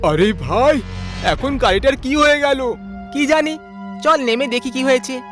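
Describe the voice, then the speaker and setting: A carefree young man , put on by a male narrator, pitched up very high and full of boisterous energy. He talks to his pals in an exaggerated cartoon-story way. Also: animated, unhurried, music in the background. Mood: puzzled. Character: excitable young man, carefree wedding-bound friend. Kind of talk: animated story dialogue.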